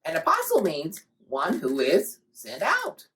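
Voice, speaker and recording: A performer voicing a cheerful, excitable puppet host in a scripted children's Bible show, explaining things playfully. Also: medium pitch, a little slow, heavily acted.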